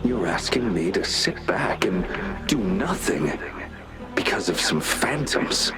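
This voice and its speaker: An actor playing a ruthless, war-weary general in a dramatic video game monologue, speaking deliberately and intensely, with pauses. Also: male voice, low voice, very animated, music in the background, full character voice. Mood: bitter, accusing.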